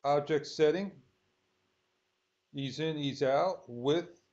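Slow-talking man, dull lo-fi recording. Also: fairly high pitch, a little mellow. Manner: halting, thinking aloud in short phrases. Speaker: software tutorial host. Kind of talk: unscripted screen-recorded tutorial. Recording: dull lo-fi sound.

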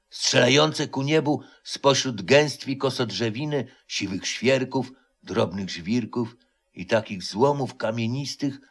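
A man plays a grey-haired old mountain man telling a folk legend in an audio storybook. He is solemn and takes his time, giving the tale a slow, stately delivery. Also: mid-range voice.

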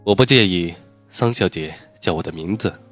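A composed young architect with a cool, formal reserve, voiced by a man in an audiobook. His voice sits very low and even, with soft music playing underneath. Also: normal speed. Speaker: voice actor in an audiobook. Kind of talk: audiobook dialogue reading.

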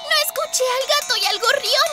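A woman playing a naive, excitable small child in a dubbed children's cartoon, earnest and innocent. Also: extremely high pitch, fairly quick. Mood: alarmed, excited. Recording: loud music behind the voice.

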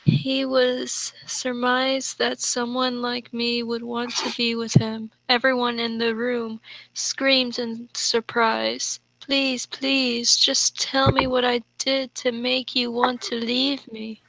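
A woman's voice: on the high side, flat delivery, slow, creaky, a bit bright, poor audio. A woman co-host reading a story aloud and chatting casually with friends. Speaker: co-host reading bad fan fiction aloud. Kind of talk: casual chat and read-aloud.

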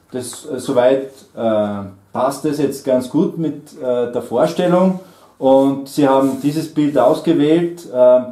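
A middle-aged man runs a spoken exam, pausing often on fillers. His tone is mellow and relaxed. The sound is roomy, from a camera across a small room. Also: mid-range voice. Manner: hesitant, many fillers, friendly laughs. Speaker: German trainer and examiner. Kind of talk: oral language exam conversation. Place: small indoor room at a table.

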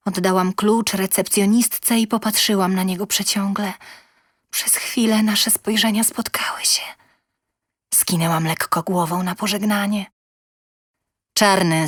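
A woman's voice: medium pitch, no room sound. Woman reading a story, dry close sound. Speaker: story reader. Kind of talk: scripted first-person narration.

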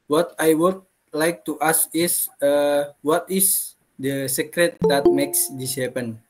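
Slow, hesitant young man asking a question. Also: fairly high pitch, fairly even intonation, over music. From Indonesia. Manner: polite and hesitant, with many "uh"s. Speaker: student. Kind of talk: asking a question in an online class. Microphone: laptop webcam on a video call.

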